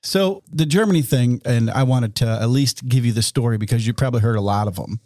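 A man's voice: medium pitch, a little fast, dark tone, no echo, studio quality. A man co-hosting a podcast, telling stories in a blunt, easygoing and informal way. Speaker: podcast co-host. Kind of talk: casual podcast conversation.

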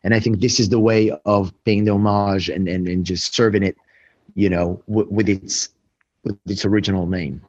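Lower-voiced man, halting restaurateur. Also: steady tone, a little mellow. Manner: halting, many restarts and fillers. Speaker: restaurant owner. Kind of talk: interview answers. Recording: dull lo-fi sound.

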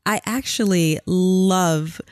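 A woman's voice: lowish voice, takes it slowly. A woman co-hosting a podcast with her husband, upbeat and teasing, laughing easily. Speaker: podcast co-host. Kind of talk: casual chat.

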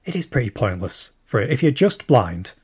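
A man with a husky, slightly breathy voice leaves a long recorded message for a show. He thinks aloud as he goes, circling back with plenty of ums. His voice sits at an ordinary middle pitch. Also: big pitch swings, normal speed, darkish tone. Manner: rambling, thinking aloud with many fillers. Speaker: listener sending in a question.

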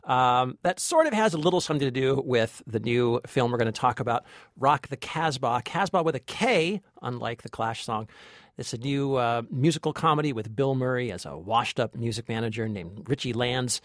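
A man's voice: medium pitch, lively intonation, moderate pace, dry recording, studio quality. A man co-hosting a movie podcast as a film critic, talking conversationally about films.

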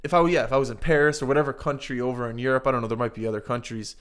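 A man's voice: mid-range voice, fairly even intonation, very fast, fairly bright tone. A man co-hosting a podcast, chatting casually in a rambling, upbeat way and teasing his co-host. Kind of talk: casual chat.

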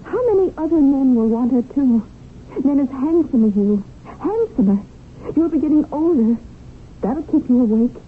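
An actress playing a plain, wounded wife in a 1970s radio drama, speaking in a deliberate, pointed, quietly reproachful way. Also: female voice, high-pitched, expressive. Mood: knowing, needling. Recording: dull, noisy old radio broadcast recording.

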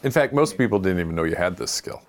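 Low-voiced male interviewer, wry tone. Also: animated, fast talker. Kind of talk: interview and scripted narration.

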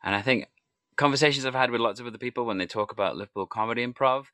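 A man from Wales hosting a relaxed interview, asking open questions in an encouraging way. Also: medium pitch, rapid-fire, dry recording, clean studio sound. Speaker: podcast host and primary school teacher.

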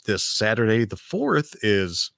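A middle-aged man tells a long story on his podcast. His voice sits very low and has turned slightly raspy. He rambles, restarts sentences and repeats words. The sound is dry and close, with no room echo. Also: sing-song, slow, a bit bright. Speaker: podcast host. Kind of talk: casual storytelling chat.

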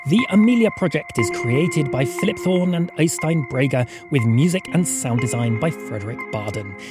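A woman's voice: rumbling low, lively intonation, normal speed. A woman reading scripted podcast credits and an appeal to listeners as the show's announcer. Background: music under the voice.

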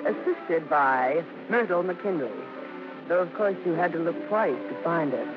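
An American woman playing a fond daughter who narrates a story in old radio drama style. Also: fairly low pitch. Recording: muffled old radio, music behind.

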